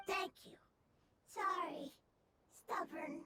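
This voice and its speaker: A woman voicing a small, kindly mushroom-like creature in a video game, squeaky and childlike, talking in broken simple phrases. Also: steady tone, takes it slowly, sharp tone, full character voice. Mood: grateful.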